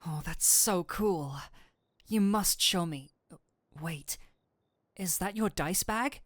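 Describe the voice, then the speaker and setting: A woman voicing a flirtatious incubus demon who is secretly an excitable nerd, in a scripted roleplay with theatrical, formal phrasing that slips into flustered gushing. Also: fairly low pitch, slow pace, dry recording, studio quality. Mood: gushing, delighted. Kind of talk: scripted roleplay audio addressed to the listener.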